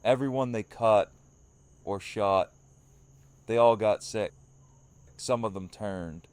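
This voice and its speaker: A man playing a weary male survivor leader in a fan audio drama, talking in a tired, steady way. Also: on the low side, slow pace, smooth, no echo. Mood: grim.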